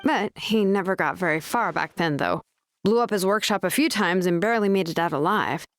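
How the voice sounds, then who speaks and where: A woman's voice: lowish voice, fairly quick, close to an everyday voice. Woman acting an easygoing, wry tribeswoman. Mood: wry, informative. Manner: casual, wry, explanatory. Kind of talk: video game voice acting.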